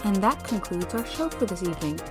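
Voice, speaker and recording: A woman's voice: medium pitch, very animated, a little fast. A woman announcer reading scripted closing credits for an audio show. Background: music.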